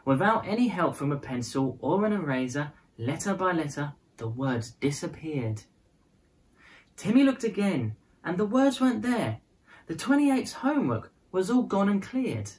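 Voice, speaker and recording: A woman reading a children's picture book aloud as a storyteller, with expressive, dramatized phrasing. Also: lowish voice, normal speed. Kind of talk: scripted storybook narration in prose and verse.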